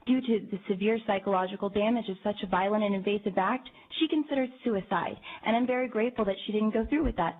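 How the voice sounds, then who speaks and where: A woman's voice: mid-range voice, slight background noise. A young woman testifying at a committee hearing in a composed, articulate and formal way. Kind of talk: prepared public testimony. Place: legislative committee hearing room. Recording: tinny, phone-like archive audio.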